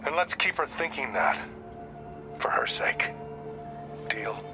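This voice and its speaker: A man's voice: medium pitch, animated, slow, in a whisper, over music. An American man playing a seasoned, shrewd police detective in a video game, plainspoken and guarded. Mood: defensive, pleading. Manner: plainspoken, folksy, probing. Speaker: video game supporting character. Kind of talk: video game voice acting, phone conversation.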